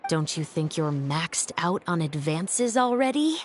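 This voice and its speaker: A woman's voice: low-pitched, big pitch swings, unhurried, slight background noise. An actress playing a stern young woman officer who speaks in a level, dryly teasing way.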